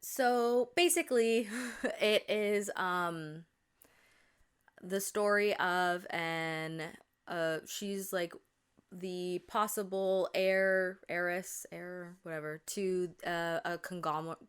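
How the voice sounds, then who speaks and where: A woman's voice: on the low side, fairly even intonation, takes it slowly, smooth. Chatty young woman, a bit congested. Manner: chatty, rambling, many fillers and self-corrections. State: congested, tired voice. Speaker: podcast host. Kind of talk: casual chat.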